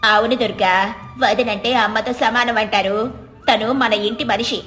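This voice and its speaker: A woman's voice: a little high, fairly even intonation, unhurried, fairly bright tone, faint music behind. A woman voicing a proud middle-aged mother-in-law in a family moral story. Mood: conciliatory.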